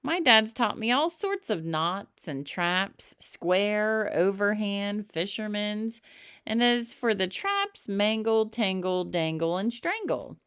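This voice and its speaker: A woman's voice: mid-range voice, very animated, slow pace, a bit bright, clear, resonant tone, dry recording, clean studio sound. A woman voicing an eager, boastful young boy in a children's storybook, proudly showing what he knows.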